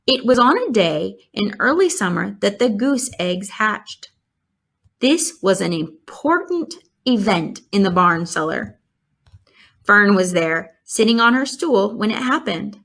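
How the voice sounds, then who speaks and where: A woman narrating a children's novel aloud in a measured, expressive storytelling manner. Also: medium pitch. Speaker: storybook reader.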